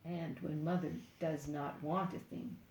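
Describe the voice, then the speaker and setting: An older woman reading a children's book aloud expressively and deliberately, playfully speaking straight to young listeners. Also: sits low, steady tone, darkish tone, roomy sound, a little hiss or hum, low-quality recording. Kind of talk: expressive picture-book read-aloud with asides.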